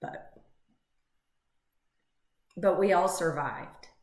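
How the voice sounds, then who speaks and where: Woman co-hosting a real estate show, voice going hoarse, low and rambly, roomy echo. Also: very slow, darkish tone. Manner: chatty, emotional, rambling with many fillers. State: losing her voice. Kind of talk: casual chat. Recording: echoey room.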